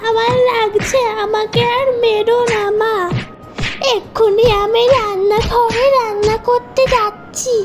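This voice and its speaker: A woman's voice: very high-pitched, level tone, measured pace, over loud music. A woman voicing a timid, mistreated little girl in a cartoon, pleading in a plaintive, obedient way. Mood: pleading, tearful. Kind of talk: melodramatic cartoon voice acting.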